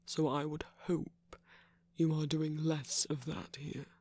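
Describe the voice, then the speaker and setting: A man playing an affectionate, concerned villain in an intimate ASMR roleplay, speaking softly and deliberately with a husky, breathy, creaky voice. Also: fairly high pitch, level tone. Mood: tender, probing. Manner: soft, deliberate, tender coaxing with whispered phrase endings. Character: affectionate, concerned male villain, slim and sickly.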